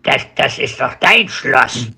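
A villainous sorcerer for a radio play. The voice is harshly raspy and cutting, with a slow, grumpy delivery that swells into bluster. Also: male voice, medium pitch, very animated, bright, forceful tone, exaggerated voice. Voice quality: raspy, gravelly. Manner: irritable, blustering. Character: grumpy evil male sorcerer and castle lord. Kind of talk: German audio drama acting.

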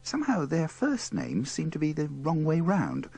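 A man's voice: a little high, wildly swooping pitch, normal speed, darkish tone, slightly rough. A man presenting scripted links about radio bloopers in a wry, wordy, tongue-in-cheek manner.

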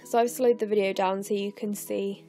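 A young English woman in her twenties, narrating her scrapbooking in a chatty, rambling way with lots of fillers, her voice croaky and tired late at night. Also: medium pitch, little pitch movement, moderate pace, mellow, faint music behind. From England. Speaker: scrapbooking vlogger. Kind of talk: casual voice-over narration of a craft process. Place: home, recorded late at night.

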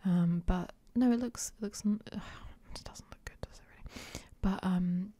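A woman's voice: medium pitch, moderate pace, mellow, breathy edge, no room sound. Whispering woman doing ASMR. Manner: hesitant, unsure, lots of ums. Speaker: ASMR creator. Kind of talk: whispered ASMR clothing try-on.

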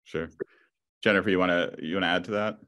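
Very deep-voiced man, halting moderator. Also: fairly even intonation, sharp tone. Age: middle-aged. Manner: halting, repeats words searchingly. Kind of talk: moderating a panel discussion. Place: indoor room, video call. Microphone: video call computer mic.

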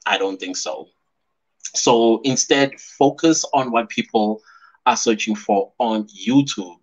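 A man's voice: fairly low pitch, takes it slowly. A South African man in his thirties, chatting casually on his first live stream from a small room at home, laughing often and reading out viewer comments. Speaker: YouTuber hosting a live stream. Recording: slightly dull lo-fi stream audio.

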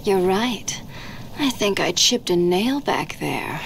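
An actress playing a sly, flirtatious cat burglar in a video game, with a sultry, sardonic delivery. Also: female voice, mid-range voice, big pitch swings, slow, gravelly, slight background noise, full character voice. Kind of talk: video game character acting. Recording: loud music behind.

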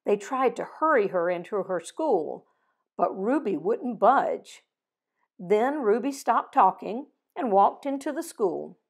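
An older woman reading a picture book aloud and closing with a prayer, gently voicing the dialogue, recorded over a video call at home. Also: mid-range voice, expressive, slightly rough. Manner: slow, gentle storytelling with voiced dialogue. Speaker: storybook reader. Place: home living room with fireplace.

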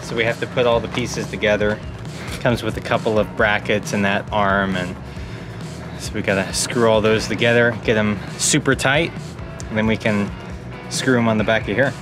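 A man explains a hands-on job in a casual, practical way as he works. Music is clearly heard behind his voice. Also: on the low side, fairly even intonation, normal speed. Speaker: crew member on an installation job.

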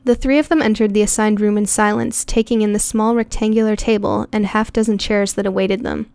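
Woman reading a story, steady clear voice, dry close mic. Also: mid-range voice, fairly quick, dry recording. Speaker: audiobook narrator. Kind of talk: scripted narration.

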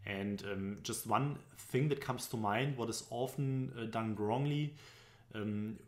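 A man's voice: lowish voice, measured pace. German-accented young man teaching in an echoey room. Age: young adult. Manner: explanatory, loosely phrased with frequent fillers. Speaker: business presentation instructor. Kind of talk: screen-recorded tutorial narration. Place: indoor room at home.

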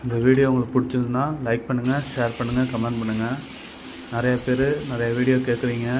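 Flat-voiced man teaching woodwork, noisy shop. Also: mid-range voice, quick pace. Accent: Tamil Nadu. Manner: plain step-by-step explaining, repeats himself. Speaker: carpenter teaching on his channel. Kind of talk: casual how-to narration.

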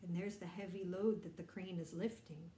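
A middle-aged woman reading a picture book aloud to children, explaining and asking simple questions in an animated way. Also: fairly low pitch, moderate pace, reverberant, a little hiss or hum. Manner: animated, explanatory, addressing young listeners. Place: bright indoor room.

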